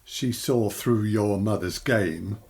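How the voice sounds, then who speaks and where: An actor playing a menacing supernatural creature in an audio drama, speaking in a measured, mocking, theatrical way. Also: male voice, lowish voice, a little mellow. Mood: sinister, calm. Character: menacing male supernatural child-taking creature, calmly gloating. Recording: music under the voice.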